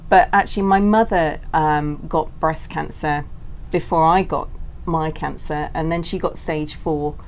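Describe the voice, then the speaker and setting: A woman's voice: low-pitched, poor audio. A middle-aged woman speaks as a guest in a conversational interview. Her voice sits well down in the female range. A light layer of background noise comes through on the recording. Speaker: interview guest, cancer survivor and author. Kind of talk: conversational interview, telling personal story.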